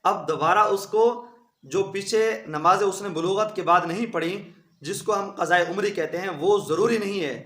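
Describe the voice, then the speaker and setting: A man's voice: sits high, moderate pace, fairly bright tone. A man, an Islamic cleric with a sore throat, giving an indignant, emphatic rebuttal speech. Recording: echoey room.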